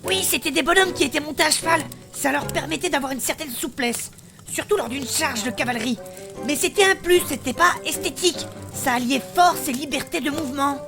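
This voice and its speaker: A high-pitched, indignant warrior woman, acted by a woman in a fantasy comedy audio drama. She is outspoken and emphatic, proud of her armour and her femininity, and speaks over a clear music bed. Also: fairly quick. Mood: exasperated. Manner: argumentative, emphatic, coarse-tongued.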